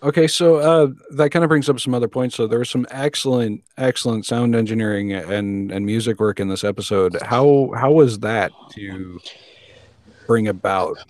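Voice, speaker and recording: Male interview host, mid-pitched, halting delivery, dry close recording. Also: no echo. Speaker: podcast host. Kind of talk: casual panel interview.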